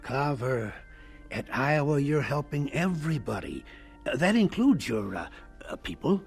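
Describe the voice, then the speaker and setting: A man acting a self-assured older college president in an audio drama, ponderous and hesitant, with a gravelly voice. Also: medium pitch, sing-song, unhurried, faint music behind, exaggerated voice. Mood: flustered. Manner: hesitant, ponderous, hemming and hawing.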